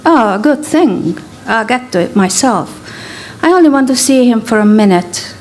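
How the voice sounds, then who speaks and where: A woman with a Hungarian accent reading the lines of a brisk, teasing male army officer in a short story. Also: on the high side, animated, darkish tone, a little hiss or hum, voice pushed up, lightly acted. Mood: offhand.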